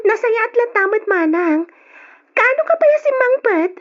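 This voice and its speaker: A woman's voice: extremely high pitch, big pitch swings, measured pace, exaggerated voice. A woman playing a cheerful, chatty young graduate with an eager, giggly manner in an old Ilocano radio drama. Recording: dull lo-fi old radio recording.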